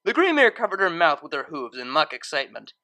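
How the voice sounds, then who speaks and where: A woman reading a story aloud as narrator, in expressive scripted narration. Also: deep voice, fast talker.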